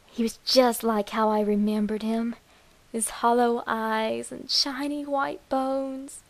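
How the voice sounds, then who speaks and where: A woman voicing a deranged, lovestruck young woman who tells her morbid horror story in a breathy, dramatic way. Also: fairly high pitch, little pitch movement. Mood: giddy, obsessive. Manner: demented, breathy, dramatic, slow with whispered words. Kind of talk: first-person horror story narration.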